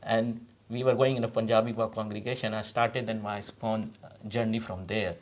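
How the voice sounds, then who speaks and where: A man's voice: lowish voice, flat delivery, fairly quick. A man from India with an Indian accent and Braj Bhasha as his mother tongue, giving a personal account as an interview guest. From Rajasthan, India. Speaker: community representative, interview guest. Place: TV studio.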